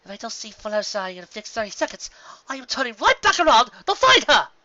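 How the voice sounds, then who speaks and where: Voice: mid-range voice, big pitch swings, fast talker, bright, forceful tone, no room sound, lo-fi sound, pitched above the performer's own voice. A reader voicing a refined, dramatic and caring female unicorn in a fan fiction story. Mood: determined.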